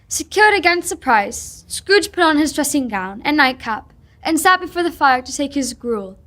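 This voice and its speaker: A woman's voice: sits high, animated, moderate pace. A young woman reading scripted story narration for an old-time radio drama. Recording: loud music under the voice.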